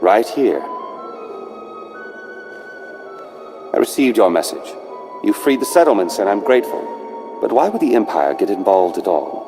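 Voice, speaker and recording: An actor playing a proud, wary rebel leader in a video game, earnest and guarded. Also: male voice, lowish voice, sing-song, fairly quick, music in the background. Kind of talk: video game cutscene acting.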